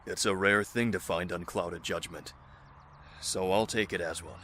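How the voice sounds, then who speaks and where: A man plays a male leader in a survival audio drama. His low voice has a bright, clear edge, and he speaks with measured calm and dry wit. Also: slight background noise. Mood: calm, wry. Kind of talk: dramatic audio acting, naturalistic dialogue.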